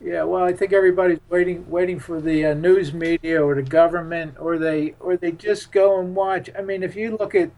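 A man from the United States speaks in a fairly high-pitched voice as a guest on a talk show. His delivery is casual and halting, with repeated words and hesitations. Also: level tone, a little mellow, poor audio. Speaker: interview guest. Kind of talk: casual reminiscing interview talk.

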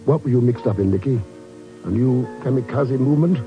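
A man plays a composed secret agent in a vintage radio thriller. He is calm and clipped, asking probing questions at a middle pitch. The sound is dull and old, with music underneath. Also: a little fast, lightly acted. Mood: grave, probing. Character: cool, composed male secret agent hero. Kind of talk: 1950s radio drama acting.

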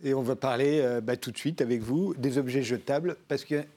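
A man's voice: medium pitch, brisk, heavy background noise. A man hosting a studio talk show, asking guests questions with chatty asides and small laughs. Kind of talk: studio interview.

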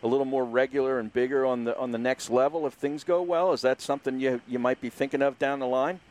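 A man's voice: medium pitch, steady tone, fast, darkish tone. A man co-hosting a casual sports talk show. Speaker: co-host.

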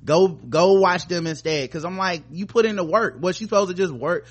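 A man's voice: high voice, normal speed, husky. An American man hosting a podcast, talking informally and opinionatedly, with rambling runs and casual profanity. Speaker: podcast host.

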